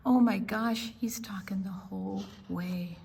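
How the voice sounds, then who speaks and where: An older woman reading aloud to small children at storytime, theatrical, stretching words and dropping into hushed asides. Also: mid-range voice, fairly even intonation, measured pace, creaky, husky. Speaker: children's library storytime reader. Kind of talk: animated read-aloud narration for small children.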